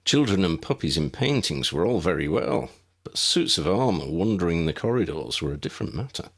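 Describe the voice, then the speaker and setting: A man's voice: deep voice, very animated, fairly quick, creaky. A man narrating an audiobook story in a scripted storytelling style.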